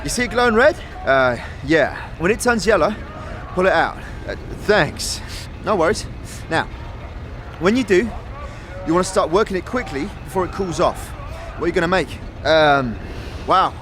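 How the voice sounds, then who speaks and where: A man's voice: high voice, lively intonation, moderate pace, fairly bright tone, soft background music. A man playing a friendly, chatty young apprentice smith in a fantasy audio drama, speaking in colloquial slang with hesitant starts. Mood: encouraging, instructive.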